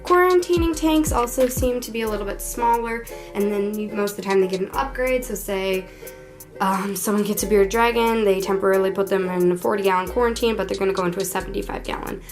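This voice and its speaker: A woman's voice: mid-range voice, fast. A young woman with a cold, sounding a little tired and under the weather, chatting casually through a pet care how-to with lots of likes and ums. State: sick, a little hoarse and tired. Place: bedroom at home. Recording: background music throughout. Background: background music.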